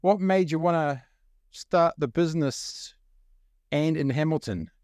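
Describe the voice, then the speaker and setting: A man's voice: fairly high pitch, measured pace, darkish tone, no echo, studio quality. A man with a New Zealand accent, hosting a podcast and asking his guest loose questions. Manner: reads intro, then asks loose questions. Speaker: podcast host. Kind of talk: podcast interview.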